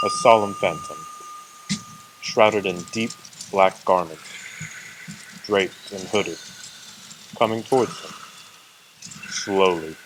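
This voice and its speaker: A man narrating a radio drama in a slow, solemn storytelling manner. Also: sits low, noisy background.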